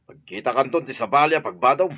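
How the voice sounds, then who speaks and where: Grumbling, animated husband. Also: male voice, mid-range voice, fast, slightly rough, muffled recording. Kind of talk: radio drama acting.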